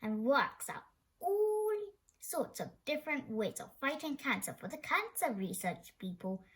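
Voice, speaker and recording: Expressive woman reading a children's story, lively pitch. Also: unhurried. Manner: expressive, with stumbles over words. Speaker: storybook reader. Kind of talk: read-aloud of a children's story.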